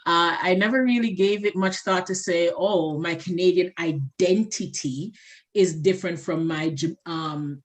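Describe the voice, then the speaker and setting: A woman, speaking slowly and thoughtfully in a group discussion, circling back to the same point. Her voice sits a little low. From Jamaica. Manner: slow, reflective, repeats points. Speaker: panel participant. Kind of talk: casual discussion.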